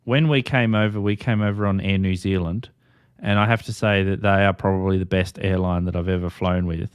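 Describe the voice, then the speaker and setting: An Australian man with a low, smooth voice talks casually as a host. His delivery is chatty and informal, and the recording is dry and close. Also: fairly even intonation, a little fast, dry recording. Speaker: host of a ham radio livestream. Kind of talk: casual group chat.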